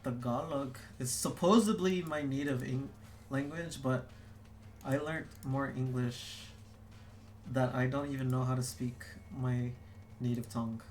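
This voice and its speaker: Young adult streamer, casual rambling chat, lots of filler words, mid-pitched voice, roomy echoey room. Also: steady tone, unhurried, a little mellow, soft background music. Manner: rambling, full of "like" and restarts.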